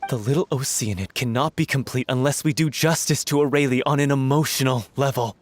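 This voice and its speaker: A man's voice: medium pitch, fairly quick, slight background noise, close to an everyday voice. An actor voicing an earnest, firm young man from a theater troupe in a game cutscene. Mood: resolute. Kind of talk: video game voice acting.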